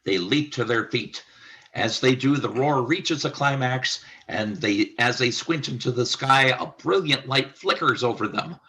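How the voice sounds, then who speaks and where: A man's voice: medium pitch, a little slow, bright, forceful tone, poor audio. A man reading scripted stage-direction narration for a radio play.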